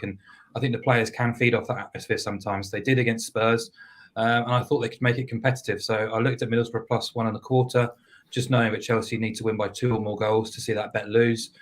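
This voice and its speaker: Football pundit, low flat voice, slightly hoarse, measured and hesitant. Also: male voice. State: losing his voice. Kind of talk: casual podcast chat.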